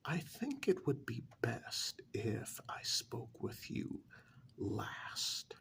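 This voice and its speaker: A fussy, courteous official, acted out by a man, speaks in a soft ASMR whisper. His delivery is extremely slow and deliberate, with heavy creak roughening the edges. He sounds formal and methodical, pausing hesitantly as he goes. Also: mid-range voice, wildly swooping pitch, exaggerated voice. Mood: officious, apologetic. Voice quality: soft, breathy whisper with gravelly edge. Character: polite, fussy, methodical male tax official auditing non-human clients. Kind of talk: soft-spoken ASMR role-play.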